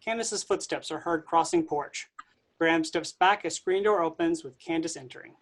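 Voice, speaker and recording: Low-voiced woman reading stage directions. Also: moderate pace.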